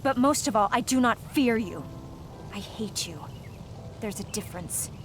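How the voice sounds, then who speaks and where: A woman's voice: mid-range voice, expressive, moderate pace, over loud music, lightly acted. An actress playing a bitter, defiant young woman in a video game, speaking with intense, accusing delivery. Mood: cold defiance. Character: defiant young woman, bitter, fearful former prisoner of beasts. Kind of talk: dramatic video game acting.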